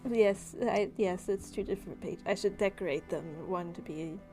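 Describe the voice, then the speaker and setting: A woman's voice: mid-range voice, normal speed. A woman talking casually as game master in a tabletop roleplaying session, with music behind her.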